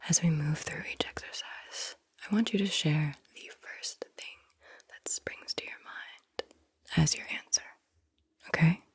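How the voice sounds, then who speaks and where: A woman whispering softly and breathily as she hosts a soothing, deliberate ASMR guided visualization. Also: low-pitched, slightly rough. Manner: soothing, slow and deliberate, repeats words softly. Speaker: ASMR host guiding visualization exercises.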